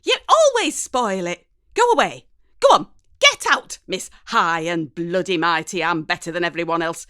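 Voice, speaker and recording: A woman's voice: fairly low pitch, sing-song, normal speed, smooth, no room sound, studio quality. A woman playing a colloquial, defensive mother of a teenager in an audio story. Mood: furious, hurt. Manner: shouting, scolding, colloquial.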